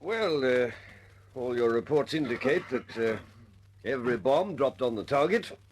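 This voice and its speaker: A mid-pitched male officer voiced by an actor, avuncular and content. He speaks with varied intonation and small hesitations, over faint noise in an old radio drama. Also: lively intonation, a little slow, close to an everyday voice. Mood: satisfied. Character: measured, satisfied male wing commander giving a report. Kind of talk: wartime radio drama acting.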